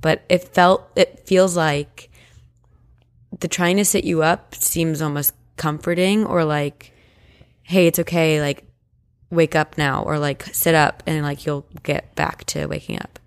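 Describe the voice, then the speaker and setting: A woman co-hosting a podcast, chatting casually and reading stories in an expressive, reassuring, conversational way. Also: low voice, a little slow, smooth. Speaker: podcast co-host. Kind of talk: casual paranormal podcast chat and story reading.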